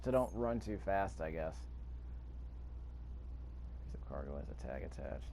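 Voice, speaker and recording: A man's voice: rumbling low, animated, a little slow, creaky. A man giving offhand, rambling gameplay commentary and reading prompts aloud as he plays. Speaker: gaming video host. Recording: faint noise behind the voice.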